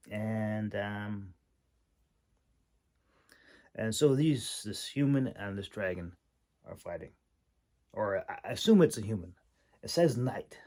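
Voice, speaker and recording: A man giving a casual, unscripted critique as a host, halting and thinking aloud with long pauses. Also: fairly low pitch. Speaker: host critiquing a writer's manuscript.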